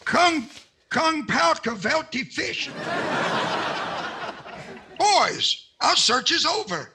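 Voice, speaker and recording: A man playing an excited, dithering comic treasure hunter in old-time radio comedy, stammering and repeating himself. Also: very high voice, drawn-out words, creaky, heavily acted. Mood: flustered, thrilled. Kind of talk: 1940s radio comedy acting. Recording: noisy home video-call line with clicks.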